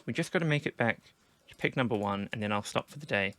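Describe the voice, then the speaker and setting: A man going hoarse from a sore throat, giving casual commentary on his team draft and thinking aloud with many restarts. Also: medium pitch, animated, fast talker, fairly bright tone, no room sound. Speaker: gaming video creator.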